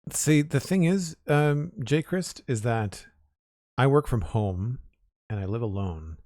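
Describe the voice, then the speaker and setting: A man streaming a building game, talking casually in a raspy, slightly hoarse voice as he thinks aloud in an unhurried, rambling way. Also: medium pitch, expressive, a little mellow, no room sound, clean studio sound. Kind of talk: casual live-stream chat while building.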